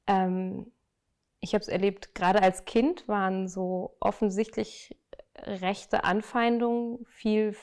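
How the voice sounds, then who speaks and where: A woman's voice: mid-range voice, fairly even intonation, slow, darkish tone, clean tone. A young woman from Germany answers interview questions. She talks in an earnest, reflective way, often stopping on small fillers while she finds her words. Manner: thoughtful, many fillers, earnest. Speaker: interview guest.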